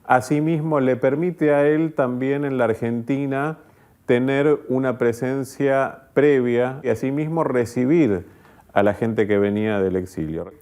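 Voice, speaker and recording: A male commentator with a medium-pitched voice talks in an interview. He sounds articulate and analytical, phrasing each point in a measured, emphatic way. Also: mellow.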